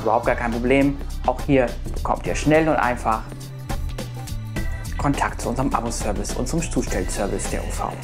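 A man's voice: medium pitch, normal speed. A man with a German accent reads a scripted promotional explainer as a voice-over narrator. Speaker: narrator of a subscription service tutorial. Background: music.

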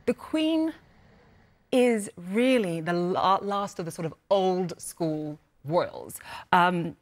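Measured woman anchor, low voice. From UK, family from Nigeria. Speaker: news anchor. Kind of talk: live news panel discussion.

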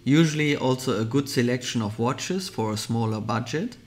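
A man's voice: medium pitch, moderate pace. A man hosting a watch vlog, narrating a mall shopping trip in an enthusiastic, explanatory voice-over. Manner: enthusiastic, explanatory product commentary. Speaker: vlogger, watch channel host. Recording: soft music underneath.

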